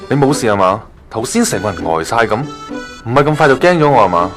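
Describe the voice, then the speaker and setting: A man's voice: mid-range voice, sing-song, fast talker, a bit bright, faint music behind. A man with a Hong Kong accent playing a blunt, demanding magazine columnist in a radio drama. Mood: polite, concerned. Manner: direct, frank. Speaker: voice actor in an online radio drama.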